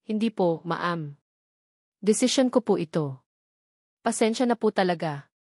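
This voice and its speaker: A woman's voice: lowish voice, expressive, a little slow, a little mellow, dry recording, studio quality. A woman with a Filipino accent voicing a meek but resolute young female office worker, polite and apologetic.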